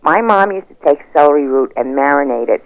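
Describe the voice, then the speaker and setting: Radio caller, casual chat, mid-low voice, muffled lo-fi phone sound. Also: expressive, fairly quick, creaky. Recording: dull lo-fi phone-in over radio.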